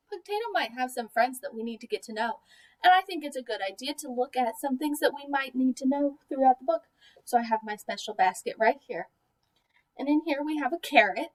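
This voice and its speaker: High-pitched woman reading to young children. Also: distant mic, low-quality recording. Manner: expressive, deliberate teacher talk aimed at young children. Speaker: teacher reading a picture book aloud to children. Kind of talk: children's read-aloud with questions to the listeners.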